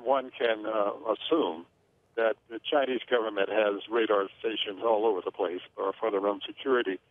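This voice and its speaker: A man with a lowish voice gives expert analysis over a tinny phone line. He talks in a measured, hesitant way, pausing on fillers as he weighs each point. The sound is dry and close. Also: no room sound. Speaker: aviation analyst.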